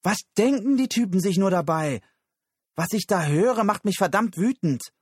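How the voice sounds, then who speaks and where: A man's voice: mid-range voice, expressive, normal speed, a little mellow, breathy edge, dry recording, studio quality, voice pushed up. A man voicing a furious, combative woman with agitated, emphatic outbursts, in an audiobook story. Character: furious, combative woman activist, fiery and urgent.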